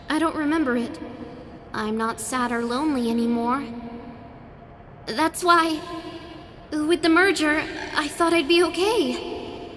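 A woman's voice: sits high, noisy background. A woman voicing a vulnerable, sorrowful teenage girl in a manga dub, emotional and confessional, with long reverb. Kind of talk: amateur anime manga dub acting. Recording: long reverb under loud music.

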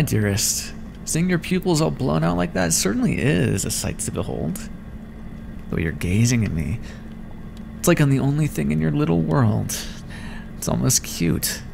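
A man acts out a clinical vampire scientist in an intimate ASMR roleplay. His voice is gravelly and swings freely in pitch as he muses aloud and teases his captive with smug condescension. A clear bed of background noise sits behind him. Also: full character voice. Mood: smug, teasing. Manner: condescending, teasing, musing aloud.

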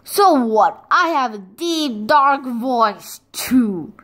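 A woman's voice: sits high, expressive, drawn-out words, a bit bright, heavily acted. A woman voicing a timid male fox who wants to seem scary, with a whiny, pleading way of talking. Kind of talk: storybook read-aloud.

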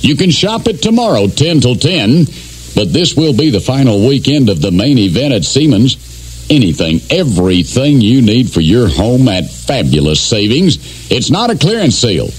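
A man's voice: mid-range voice, big pitch swings, moderate pace, slightly rough. A man announcing a retail sale commercial in emphatic, punchy phrases. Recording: radio broadcast with faint noise, hum.

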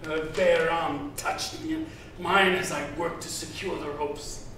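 A man's voice: fairly high pitch, big pitch swings, fairly bright tone, heavily acted. An older man acting a vain, possessive old slaving captain in a theatrical stage reading. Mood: menacing, gloating. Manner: theatrical, slow, intense. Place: large theater stage, indoors. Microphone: far from the speaker on stage. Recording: echoey hall, distant mic, hiss.

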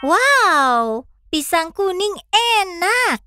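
A woman voicing a cheerful, eager young fox in a children's cartoon, animated and childlike as it addresses viewers. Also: very high-pitched, very slow, noisy background, full character voice. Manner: animated, childlike, clear instructing.

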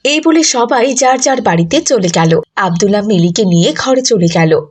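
A woman's voice: medium pitch, expressive, normal speed, breathy edge. A woman narrating a scripted moral story for children.

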